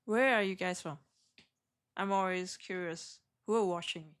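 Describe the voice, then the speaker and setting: Hong Kong-accented woman streaming trades. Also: fairly low pitch. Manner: halting, restarts sentences, chatty with viewers. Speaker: day trader streaming live. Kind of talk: live-stream trading chat.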